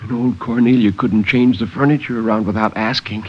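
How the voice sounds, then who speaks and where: A man narrating a story in a theatrical 1950s radio style with measured pauses. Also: fairly low pitch, steady tone, slight background noise. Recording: dull vintage 1950s radio recording.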